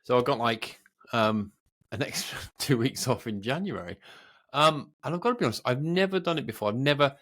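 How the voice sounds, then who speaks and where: A man's voice: mid-range voice, animated, a little fast, a bit bright. A middle-aged British man co-hosting a podcast, chatting casually in a rambling, self-deprecating way. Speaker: podcast co-host. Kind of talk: casual chat.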